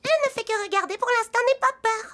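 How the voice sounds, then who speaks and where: A woman's voice: very high-pitched, fast. A woman voicing a tiny, kindly mouse dentist who reassures and instructs in a children's cartoon. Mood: reassuring. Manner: reassuring, instructive, gently scolding. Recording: music behind the voice.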